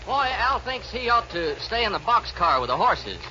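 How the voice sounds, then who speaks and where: A man's voice: high voice, big pitch swings, moderate pace, pushed and bright, a little hiss or hum, heavily acted. A man playing a rustic, teasing young cowboy sidekick in a 1940s Western radio comedy. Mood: folksy, teasing. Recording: muffled 1940s radio recording.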